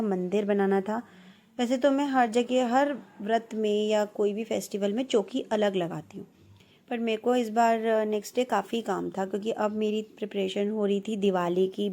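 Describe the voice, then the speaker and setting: Chatty, slightly hoarse woman with a mellow voice. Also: mid-range voice. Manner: chatty, relaxed, self-teasing. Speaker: vlogger. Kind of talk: casual voice-over narration.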